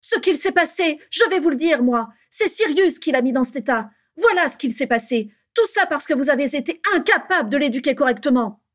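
A woman's voice: on the high side, normal speed, a little mellow, pitched above the performer's own voice, close to an everyday voice. A woman voicing a fiery, scornful young witch in a storybook, snapping and mocking. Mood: furious.

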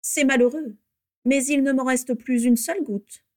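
A woman's voice: on the high side, normal speed, natural voice. An icy old aristocrat of a wizard, voiced by a woman narrator. He is haughty and curt, detaching each word with care, as in a fantasy audiobook. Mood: icy disdain. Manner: icy, curt, carefully articulated.

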